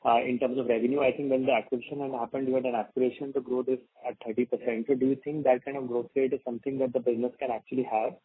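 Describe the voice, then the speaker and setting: Man, analyst caller, husky mid-range voice, tentative questioning, thin telephone sound. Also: mid-range voice, level tone, very fast, few pauses, no echo. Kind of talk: questioning on an earnings call. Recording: tinny, narrow phone line.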